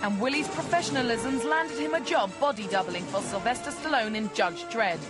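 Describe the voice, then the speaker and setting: A woman's voice: on the high side, expressive, brisk. A woman narrating a documentary voice-over in a wry, lightly teasing way. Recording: music bed under the voice.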